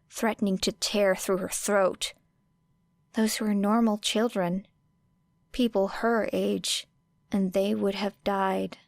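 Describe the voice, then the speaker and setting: Woman, audiobook narrator, medium pitch, dry recording, expressive scripted fiction reading. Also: no room sound.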